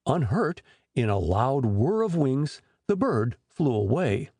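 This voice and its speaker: A man narrating a storybook audiobook in an expressive storytelling manner. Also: mid-range voice, slow pace, slightly rough, dry recording, clean studio sound.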